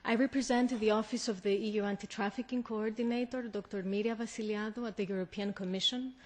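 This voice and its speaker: Greek-accented woman giving a formal speech. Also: mid-range voice. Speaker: European Commission official, conference speaker.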